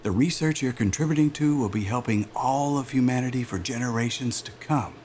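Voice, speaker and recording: A man's voice: mid-range voice, fairly quick, darkish tone, slight background noise, close to an everyday voice. An actor playing a chummy, persuasive aerospace executive interviewer in a cinematic audio drama, with a chatty, polished salesman manner. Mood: persuasive, measured. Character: smooth, glib male aerospace executive recruiter, chummy and persuasive.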